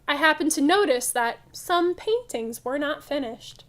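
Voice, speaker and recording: A woman voicing an excitable child storyteller from a picture book, with animated, emphatic delivery. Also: sits high, a little slow. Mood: excited.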